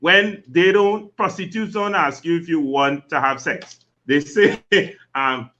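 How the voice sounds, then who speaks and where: A man's voice: a little high, slow, pushed and bright. A man telling jokes as a guest on a live talk show, laughing often and saying "you know" a lot. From Trinidad. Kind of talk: casual chat, comic storytelling. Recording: dull lo-fi livestream audio.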